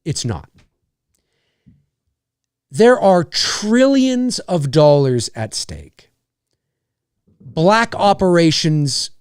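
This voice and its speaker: Excitable Canadian man in his forties. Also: a little high, extremely slow, long pauses, smooth, no room sound, studio quality. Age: 40s. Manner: excitable, rambling, reads donor messages aloud. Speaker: host of a live Q&A stream. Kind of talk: casual live-stream chat.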